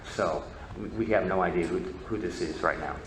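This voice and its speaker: Echoey noisy room, lowish male voice, informal lecture, halting delivery, repeated words. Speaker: presenter, media history researcher. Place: presentation room at a convention. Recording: echoey room with background noise.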